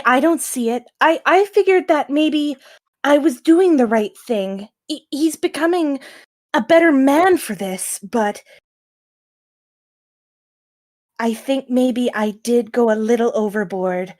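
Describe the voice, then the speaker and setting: A woman playing a jealous, overbearing girlfriend in an online apology skit, halting and earnest as she justifies herself. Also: high voice, a little mellow. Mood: defensive, halting. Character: jealous, overbearing young girlfriend making a tearful-sounding apology.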